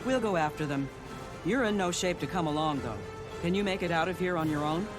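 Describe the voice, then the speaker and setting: An actor, a man, playing a composed, watchful secret agent in a video game, speaking in a measured way. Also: a little high, expressive, over music, natural voice. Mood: composed, firm.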